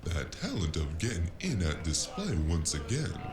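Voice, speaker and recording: A man's voice: sits low, huge pitch swings, moderate pace, a crowd in the background, heavily acted. A man playing a slippery, comic gangster in a cyberpunk audio drama, with folksy, wisecracking talk. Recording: roomy echo, loud music behind.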